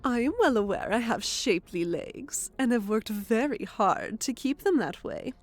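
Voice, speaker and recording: A woman's voice: fairly high pitch, lively intonation, moderate pace. A woman voicing a haughty, easily offended nonhuman lady with prim, formal phrasing in an audiobook. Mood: indignant. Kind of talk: audiobook character acting.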